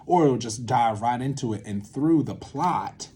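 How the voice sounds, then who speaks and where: A man giving an informal creative lesson to camera, thinking aloud in an animated way with swings in emphasis. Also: mid-range voice, a little slow, breathy edge. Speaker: creator and host teaching a creative method. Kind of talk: informal instructional monologue to camera.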